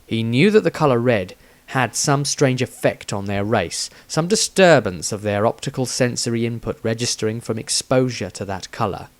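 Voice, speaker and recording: A man's voice: medium pitch, animated, normal speed. Man narrating a fantasy story dramatically. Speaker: author reading his own story.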